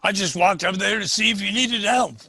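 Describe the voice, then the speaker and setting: A man's voice: high-pitched, a little fast, sharp tone, dry recording, exaggerated voice. An actor playing a hearty, folksy country man at a mountain store in a radio comedy-drama. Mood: friendly.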